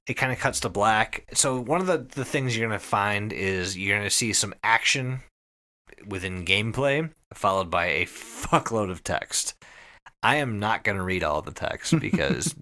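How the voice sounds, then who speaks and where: A man's voice: fairly low pitch, expressive, normal speed, sharp tone. A man hosting a podcast, summarizing a story casually with swearing, emphatic phrasing and wry asides. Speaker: podcast host summarizing an internet horror story.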